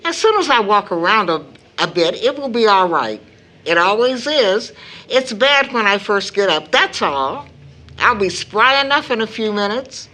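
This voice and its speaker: Animated, kindly older mother. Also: mid-range voice, a little slow, rough recording. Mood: sheepish, reflective. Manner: homely, affectionate, expressive. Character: kindly, fussing older mother of a grown daughter. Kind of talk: stage play audio drama acting.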